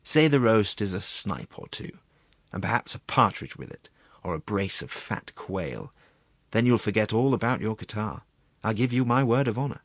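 A man's voice: lowish voice, animated, moderate pace, no echo. A man voicing a wheedling, gluttonous court secretary in a storybook reading, savouring his words in a confiding, coaxing way.

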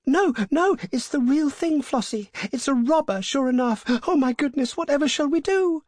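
A man's voice: very high-pitched, normal speed, no echo, clean studio sound, pitched above the performer's own voice. A man voicing a silly, panicky young actress in an audiobook, with gasping, pleading exclamations. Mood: panicked. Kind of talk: audiobook character voice.

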